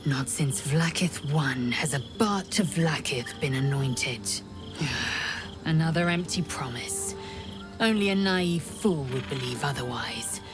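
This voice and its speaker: A woman's voice: low voice, lively intonation, takes it slowly, over music. An actress playing a proud, fierce alien warrior woman in a video game, solemn, formal and intense. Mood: grave, brooding. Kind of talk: dramatic video game acting.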